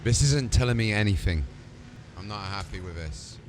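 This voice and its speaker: A man's voice: lively intonation, moderate pace. A man role-playing as a ship's commander in conversation with game voices. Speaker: game player in character as ship commander. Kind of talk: role-played dialogue with game voice pack. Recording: clear ambient rumble behind.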